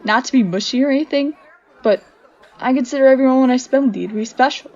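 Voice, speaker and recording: A woman's voice: sits high, fast, darkish tone, dull audio, a faint crowd. A woman voicing a bold, affectionate female monster with a casual, punchy delivery in a story reading. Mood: warm, playful. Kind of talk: dramatic fan fiction reading.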